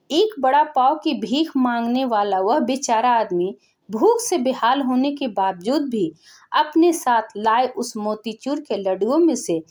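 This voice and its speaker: A woman telling an emotional story as scripted narration, in a gentle, earnest way. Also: high-pitched.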